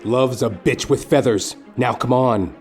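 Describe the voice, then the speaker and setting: A man plays a lecherous private eye telling his caper in a noir parody audio play. His middle-pitched voice stays deadpan and deliberate through florid, overblown description. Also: faint music behind, lightly acted. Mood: wry deadpan. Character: wry, lecherous hardboiled male private detective narrator. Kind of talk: scripted noir-parody narration.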